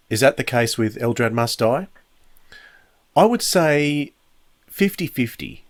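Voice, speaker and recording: A man hosting a review podcast, giving conversational, measured and explanatory opinions. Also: mid-range voice, no echo. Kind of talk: spoken review, conversational commentary.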